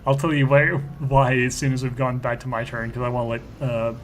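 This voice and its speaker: A man's voice: medium pitch, little pitch movement, fairly quick, a little hiss or hum. A man playing a tabletop role-playing game, chatting casually and rambling, with theatrical turns of phrase. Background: background music.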